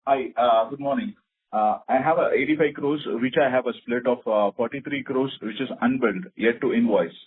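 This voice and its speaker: A man's voice: mid-range voice, brisk. A man with an Indian accent answering investor questions as a finance executive, over a phone line. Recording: tinny narrow phone line.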